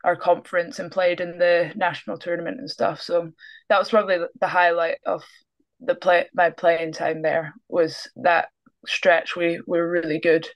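A woman's voice: fairly low pitch, level tone, normal speed. Scottish woman, young adult, laid-back interview guest over video call. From Scotland. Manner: easygoing, laughs often, trails off. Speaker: guest basketball player. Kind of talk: casual interview. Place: small room indoors.

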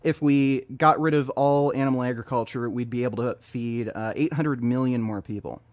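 A man in his early thirties is debating over a webcam video call, with a pitch right in the middle for a man. He argues hard and turns sarcastic, pushing back at every point he hears. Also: steady tone, normal speed. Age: 30s. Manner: argumentative, sarcastic. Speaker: online debater. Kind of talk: heated online debate.

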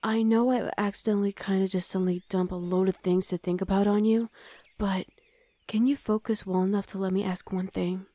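Soft, mellow demon girlfriend, acted by a woman. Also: mid-range voice, fairly even intonation, brisk, no echo, close to an everyday voice. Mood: reassuring, hesitant. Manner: hesitant, soothing, often dropping to a breathy whisper. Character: flustered, gentle young female demon hell knight girlfriend. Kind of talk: intimate ASMR roleplay addressed to the listener.

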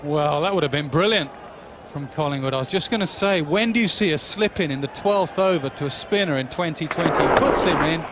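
A man's voice: a little high, brisk, noisy background. A male cricket commentator giving analytical, conversational TV commentary from a commentary box. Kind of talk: TV sports commentary.

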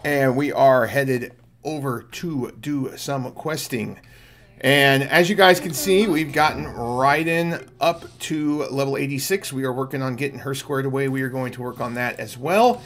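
A man's voice: mid-range voice, measured pace, fairly bright tone, a little hiss or hum. A middle-aged man giving casual, explanatory livestream commentary on a game, chatting to viewers. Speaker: game streamer, channel host. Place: indoor room at home. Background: game music.

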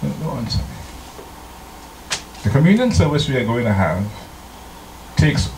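An older man from Trinidad, a pastor preaching a slow, deliberate sermon into a handheld microphone in a church, now and then singing hymn lines. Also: mid-range voice, big pitch swings, a little mellow, a little hiss or hum. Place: church sanctuary. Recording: echoey church room.

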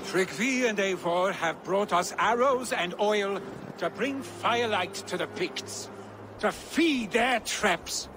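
Brash high-pitched Viking warrior. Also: male voice, slow, background noise. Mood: rousing. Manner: swaggering, bantering. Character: brash younger male Viking warrior, boastful, competitive, teasing. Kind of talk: video game cutscene acting.

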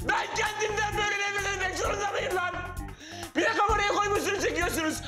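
A man's voice: medium pitch, lively intonation, a little fast, music in the background, lightly acted. An actor in his thirties playing a hapless struggling auditionee in a sitcom, eager, cheeky and rambling with awkward politeness. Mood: anguished ranting. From Tokat, Turkey. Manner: hesitant, over-polite, rambling. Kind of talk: naturalistic sitcom acting.

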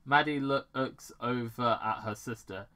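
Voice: mid-range voice, a bit bright. A British amateur reader reading fan fiction aloud, stumbling over words and breaking off to ad-lib. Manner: halting, stumbling over words and correcting themselves. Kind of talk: amateur read-aloud with ad-libs.

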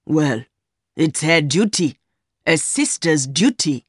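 A woman voicing an older rich man, a smooth, condescending and fatherly secret lover in an audiobook story. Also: low voice, lively intonation, slow pace, no room sound, clean studio sound, exaggerated voice. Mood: stern, dismissive. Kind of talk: acted dialogue in audiobook story.

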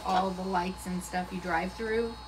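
A woman chatting with coworkers, telling a relaxed story. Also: fairly low pitch, a little slow, noisy background. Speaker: dental office staff. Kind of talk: casual chat.

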